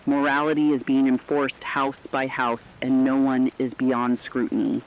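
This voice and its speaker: A man playing a stern radio reporter, reading scripted horror news with a serious, deliberate, foreboding delivery. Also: mid-range voice, level tone, dull audio, a little hiss or hum, lightly acted. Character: stern radio reporter warning listeners of doom.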